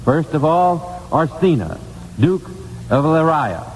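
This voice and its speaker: A man's voice: fairly high pitch, big pitch swings, takes it slowly, big-room sound, slight background noise, low-quality recording. An American man hosting a 1950s radio quiz show, putting questions to contestants on an old broadcast recording.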